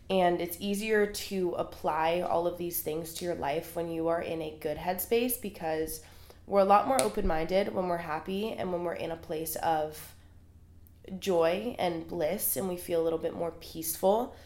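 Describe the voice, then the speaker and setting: A woman's voice: on the low side, moderate pace, darkish tone. A young woman with a cold and a congested voice, hosting her podcast in a chatty, earnest way. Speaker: podcast host. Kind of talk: casual solo podcast monologue. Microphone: small handheld recorder. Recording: roomy echo.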